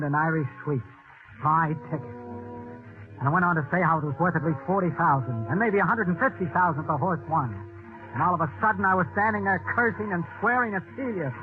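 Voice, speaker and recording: A man's voice: on the high side, lightly acted. An actor playing a cold, scheming, nervous man who narrates his own crime in 1940s radio style. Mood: bitter. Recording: tinny, band-limited old radio recording, music behind.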